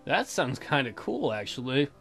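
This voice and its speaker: A woman's voice: a bass voice, very animated, normal speed, slightly rough, soft background music. A woman hosting a let's play, reading a visual novel aloud with joking commentary.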